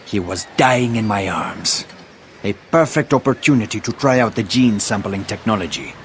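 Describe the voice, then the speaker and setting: Pompous villain, megalomaniac, lively swooping pitch, faint background noise. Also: male voice, normal speed. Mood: scheming. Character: grandiose male villain in a battle suit. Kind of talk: video game cutscene acting.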